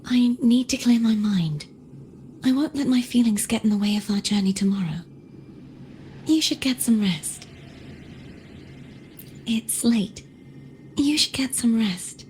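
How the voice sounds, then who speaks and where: A woman voicing a gentle, resolute young heroine in a video game, soft and earnest. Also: fairly high pitch, measured pace, pauses often, a little hiss or hum, natural voice.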